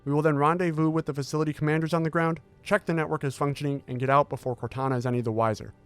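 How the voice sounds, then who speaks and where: A man playing a composed, determined military scientist who speaks in a measured, formal, authoritative way in an audio drama. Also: on the high side, steady tone, smooth, soft background music, close to an everyday voice. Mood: formal, explanatory. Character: composed, determined male military intelligence scientist briefing Marines. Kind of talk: audio drama acting, briefing.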